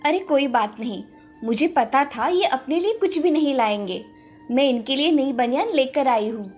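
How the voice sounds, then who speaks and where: A woman's voice: high-pitched, lively intonation, normal speed, faint music behind. A caring village mother. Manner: soft, caring, practical. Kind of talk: animated moral story voice acting.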